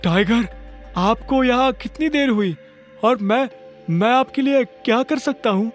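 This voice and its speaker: A man's voice: very high voice, a little slow, faint music behind, voice pushed up. A man voicing a stammering, awestruck, obsequious bank manager in an audio drama. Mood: fawning, eager.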